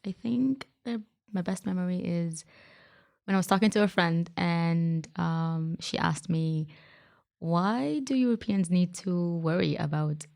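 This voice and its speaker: A woman youth activist from Saudi Arabia speaks casually in a fairly low voice with audible creak. Her tone is soft-edged as she answers interview questions. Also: a little slow. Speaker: youth activist, podcast co-host.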